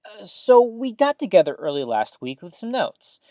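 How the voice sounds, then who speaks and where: A woman's voice: mid-range voice, sing-song, normal speed, warm tone, no room sound, studio quality, lightly acted. A woman playing a chatty, upbeat small-town radio host in an audio drama, with folksy exclamations and theatrical news patter.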